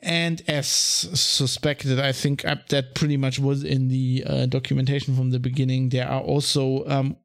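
Male podcast host, German accent, gravelly, rambly with lots of ums. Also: mid-range voice, little pitch movement, normal speed, few pauses. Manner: rambling, many ums and restarts. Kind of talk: casual solo podcast monologue.

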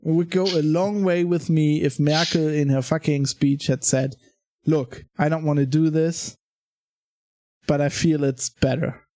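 A man with a German accent hosting a podcast in casual conversation, blunt and quick to laugh, swearing now and then. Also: fairly high pitch, steady tone, dark tone, no room sound. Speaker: podcast host.

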